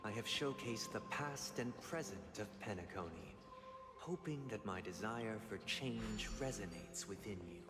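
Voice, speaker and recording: An actor playing a solemn, theatrical male villain who delivers grand, formal monologues in a measured way. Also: on the low side, expressive. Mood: grand, proclaiming. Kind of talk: dramatic scripted monologue. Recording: long hall reverb under loud music.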